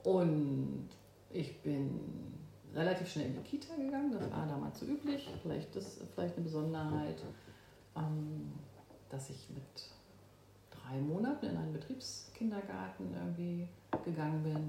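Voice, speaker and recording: A woman in her fifties from Berlin, a guest in an interview, who talks thoughtfully with pauses and fillers. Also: deep voice, animated, creaky, warm tone, a little hiss or hum. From Berlin, Germany. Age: 50s. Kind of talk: oral history interview. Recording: echoey room.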